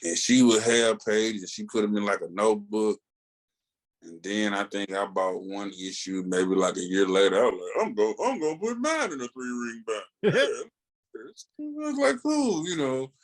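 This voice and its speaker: Low-voiced American man chatting enthusiastically. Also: lively intonation, moderate pace. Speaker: comics podcast co-host. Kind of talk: casual fan chat.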